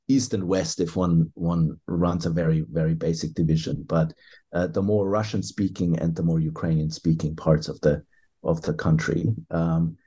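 A man with a low, mellow voice talks through his ideas as an interview guest. He hesitates often, circles back and restarts phrases as he builds long careful answers. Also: husky. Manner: thoughtful, hesitant, many fillers and restarts. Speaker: author and historian guest.

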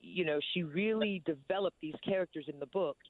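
Woman, deep voice, laid-back actress, phone-line quality. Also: fairly quick, no room sound. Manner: laid-back, with frequent "you know". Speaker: stage actress. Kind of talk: casual interview chat.

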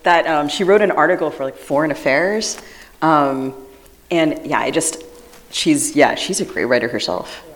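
A woman's voice: low-pitched, moderate pace. A woman co-hosting a live podcast panel, speaking casually with many fillers and restarts. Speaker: podcast co-host. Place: live event stage.

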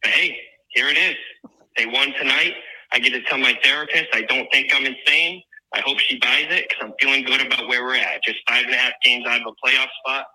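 A man's voice: mid-range voice, fairly even intonation, fairly quick, bright, forceful tone, breathy edge, poor audio. A man leaving a casual voicemail over the phone as a sports fan. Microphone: telephone.

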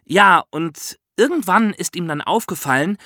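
Bright, lively male podcast host, high-ish voice. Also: measured pace, dry recording, clean studio sound. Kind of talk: lively conversational podcast presenting.

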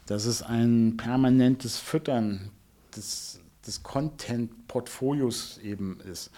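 A middle-aged man giving explanatory answers as an interview guest, halting and deliberate, with rather formal wording. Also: mid-range voice, dark tone. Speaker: interview guest, music label executive.

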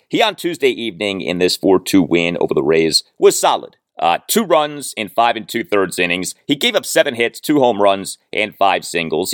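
A man's voice: deep voice, no room sound, clean studio sound. A man hosting a sports podcast, delivering solo commentary in an emphatic way, repeating phrases for stress.

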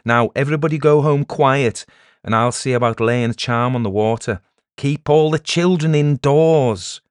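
A man's voice: medium pitch, moderate pace, clean tone, no echo, clean studio sound, voice pushed up, natural voice. A man voicing a big, proud village leader in a storybook tale, commanding, blustering and mocking. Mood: commanding, angry. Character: big, domineering village man, gruff, scornful, proud charm-worker. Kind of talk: storybook character voice in a narrated tale.

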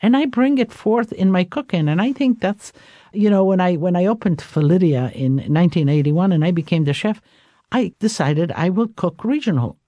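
Dark-voiced woman with an Italian accent. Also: fairly low pitch, normal speed, dry recording, dull audio. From Istria, Italy/Croatia. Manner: warm storytelling, many fillers. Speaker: chef, guest. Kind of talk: radio interview.

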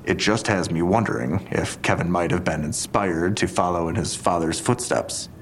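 An actor playing a skeptical police detective in an audio drama, talking in a terse, dry, deliberate way. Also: male voice, low-pitched, slight background noise. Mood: measured, businesslike.

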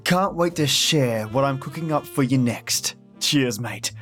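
Effusive male podcast host, mid-pitched. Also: measured pace, a bit bright, faint music behind. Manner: dramatic, effusive. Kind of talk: scripted narration, show outro.

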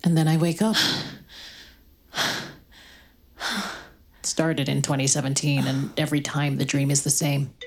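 A woman's voice: low voice, fairly even intonation, takes it slowly, long pauses. Weary, guarded American mother. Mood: haunted. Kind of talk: naturalistic audio drama acting with narration.

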